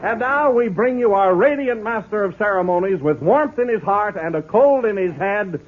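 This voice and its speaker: A man's voice: sits high, animated, normal speed, very noisy. An American man announcing in an emphatic, polished 1930s radio advertising style. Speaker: radio announcer and comic sidekick. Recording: narrow-band old radio broadcast.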